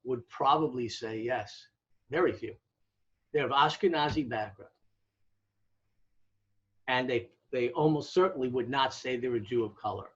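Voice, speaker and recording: A man's voice: medium pitch, normal speed, long pauses. An American man explaining things in a conversational interview, emphatic and didactic, often checking in and posing rhetorical questions. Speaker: academic interviewee, demographer. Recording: dull, lo-fi audio.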